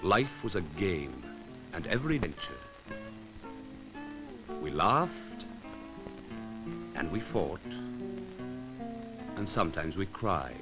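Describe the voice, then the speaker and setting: A man reading scripted narration for an educational film, in a measured, solemn and poetic way with deliberate pauses. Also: low voice, very animated, over music, rough recording. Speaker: documentary narrator.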